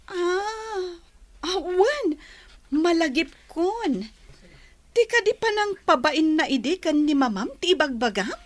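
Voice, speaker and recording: A woman's voice: very high voice, lively intonation, slow pace, exaggerated voice. A woman playing a playful, teasing young best friend in an audio drama. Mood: teasing, amused. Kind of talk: Ilocano audio drama acting.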